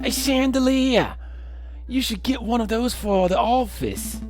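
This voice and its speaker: A man voicing an eager, excitable young bird sidekick in a video game, chirpy and exclamatory. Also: mid-range voice, measured pace, faint music behind, pitched above the performer's own voice, natural voice. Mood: excited.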